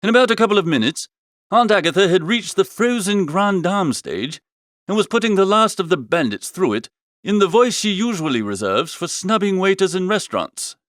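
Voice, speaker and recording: Lively, high-pitched male comic narrator. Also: moderate pace, no echo, clean studio sound. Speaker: audiobook narrator. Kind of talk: comic first-person scripted narration.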